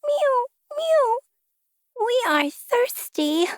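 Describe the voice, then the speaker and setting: A woman's voice: extremely high pitch, sing-song, extremely slow, no room sound, studio quality, pitched above the performer's own voice, exaggerated voice. A woman voicing small storybook kittens, needy and vain, in a childlike, plaintive, insistent manner.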